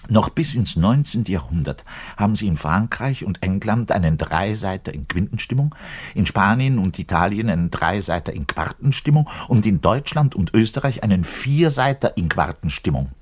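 A self-important orchestral bass player delivering a radio-play monologue. His voice is low and rough-edged, with very animated intonation. He lectures pedantically and rambles emphatically, betraying frustration as he addresses his listener directly. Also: normal speed. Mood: proud, lecturing. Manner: pedantic, rambling, emphatic, shifting to muttered asides.

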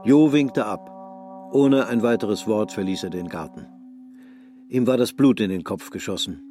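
A male narrator reads literary prose for a radio drama. His voice sits on the low side. The delivery is measured and sober. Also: warm tone, soft background music.

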